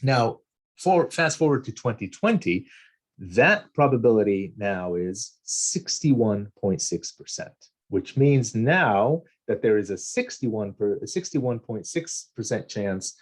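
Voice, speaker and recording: A man in his forties from Jordan giving a recorded college lecture, measured and deliberate, with frequent ums and restarts and key figures repeated slowly. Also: lowish voice, lively intonation.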